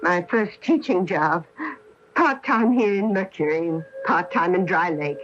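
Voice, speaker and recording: An actress playing an elderly former schoolteacher in a radio mystery drama, brisk, nostalgic and sure of her memory. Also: lowish voice. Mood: fond, assured. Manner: brisk, expressive, reminiscing. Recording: dull lo-fi radio broadcast recording.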